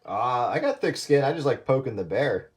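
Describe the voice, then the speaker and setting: A man's voice: medium pitch, lively intonation, moderate pace, very noisy. A man in casual radio chat with other hobbyists, teasing and argumentative, mockingly quoting people over two-way radio audio.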